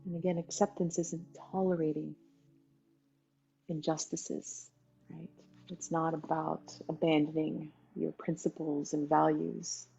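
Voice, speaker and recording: A woman leading a guided meditation as its teacher, talking in an unhurried way with pauses between short phrases. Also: low-pitched, flat delivery, gravelly, mellow. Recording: dull lo-fi sound, music behind. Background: music.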